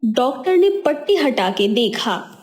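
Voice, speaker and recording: Slow-paced woman storyteller. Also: a little high, very animated, a little mellow. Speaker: narrator. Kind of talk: scripted moral story narration for a cartoon.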